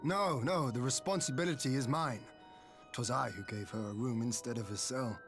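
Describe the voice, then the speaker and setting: An actor playing a calm, noble young male leader in a game, speaking formally and steadily. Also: mid-range voice, normal speed, natural voice. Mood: steady. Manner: formal and composed. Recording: game dialogue over background music.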